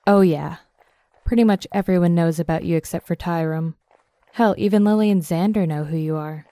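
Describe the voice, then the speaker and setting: A young woman playing a tough, sardonic zombie killer in an audio drama, with a deadpan, teasing, brisk delivery. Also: fairly low pitch, no echo, natural voice. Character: tough, sardonic twenty-five-year-old woman, cocky zombie killer. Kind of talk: audio drama acting, casual dialogue.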